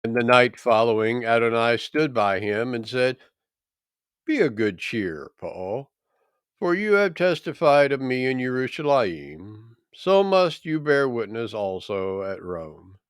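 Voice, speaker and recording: A man reading scripture aloud in a solemn, measured and declamatory way. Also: medium pitch, big pitch swings, smooth, no room sound, clean studio sound. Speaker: scripture reader.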